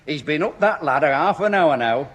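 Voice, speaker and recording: A man's voice: a little high, expressive, normal speed, a bit bright. A man playing a dry, grumbling middle-aged father with a northern English accent in a 1960s radio comedy. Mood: dryly amused, grumbling. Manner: dry, joking. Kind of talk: 1960s radio sitcom acting. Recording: noisy old 1960s radio recording.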